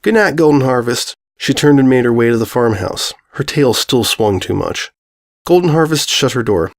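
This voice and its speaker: A man's voice: mid-range voice, lively intonation, moderate pace. A man reading a scripted story aloud as its narrator.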